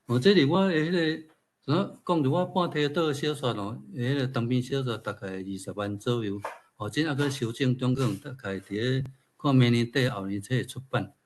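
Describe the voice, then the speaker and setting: Husky Taiwanese man reading aloud. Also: medium pitch, fairly quick. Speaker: featured speaker, writer. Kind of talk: reading own fiction aloud with informal remarks.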